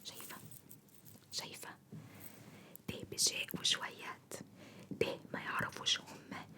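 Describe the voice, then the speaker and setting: A woman plays a homesick beautician with an Upper Egyptian accent, speaking entirely in a breathy whisper. She is effusive and repetitive, piling up homely endearments and grumbles about city people in a one-sided ASMR salon roleplay. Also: unhurried, bright, forceful tone. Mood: indignant, disdainful. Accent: Upper Egyptian (Sa'idi) Arabic.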